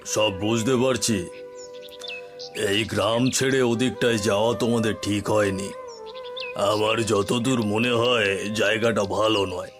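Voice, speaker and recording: A man voicing a grave village headman who cautions in a measured, admonishing way, in a cartoon. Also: fairly low pitch, steady tone, over music, close to an everyday voice. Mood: grave, warning.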